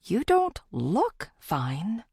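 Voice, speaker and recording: A woman's voice: mid-range voice, wildly swooping pitch, very slow, dark tone, husky, no echo, clean studio sound, heavily acted. A woman voicing an anxious, caring female podcaster heroine, earnest and tense, in an audiobook. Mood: concerned.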